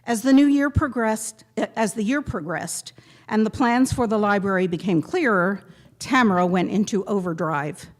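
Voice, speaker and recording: A woman giving a prepared memorial tribute to a gathering, speaking in measured, deliberate phrases with pointed pauses. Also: mid-range voice. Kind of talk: prepared eulogy read aloud to a gathering.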